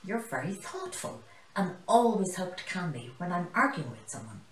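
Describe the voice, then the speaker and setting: A woman's voice: lowish voice, big pitch swings, normal speed, a bit bright, far from the mic. A woman voicing a proud, loyal zebra pupil, sincere and admiring, in a storybook. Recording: roomy, echoey living room.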